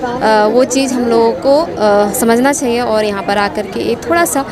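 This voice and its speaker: A woman with a Jharkhand accent speaking hesitantly and spontaneously in an interview. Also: a little high. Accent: eastern Indian, Jharkhand. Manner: halting, hesitant. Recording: noisy outdoor crowd.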